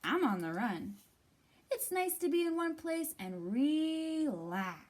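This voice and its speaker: Woman as a friendly storybook fish, lilting high. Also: sing-song, slow pace, full character voice. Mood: cheerful, easygoing. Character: sociable hula-hooping alewife fish, cheerful picture-book traveler.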